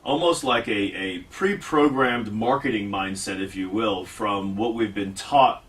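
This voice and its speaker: A man's voice: lowish voice, unhurried, a bit bright, recorded from a distance. A man hosting a fitness radio show, talking casually, rambling and mocking himself. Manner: rambling, self-mocking, restarts often. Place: radio studio.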